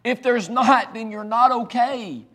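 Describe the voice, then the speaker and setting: Male pastor, high and raspy, fervent, with repeated emphatic lines. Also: a little slow, fairly bright tone. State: raspy voice from cheering and allergies. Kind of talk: preaching a sermon.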